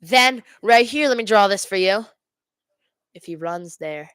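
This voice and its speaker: A woman's voice: mid-range voice, animated, unhurried, a bit bright, smooth, dry recording. Woman calling hockey, dry close sound. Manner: exasperated Devils fan, self-correcting, explains plays informally. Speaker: play-by-play commentator hosting a fan watch party. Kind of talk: live amateur hockey commentary.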